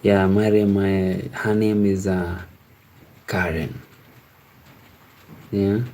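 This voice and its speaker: A man hosting a casual live stream, chatting with viewers in a halting, repetitive way. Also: sits low, little pitch movement, dark tone. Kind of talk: casual chat with live viewers, reading out and answering comments.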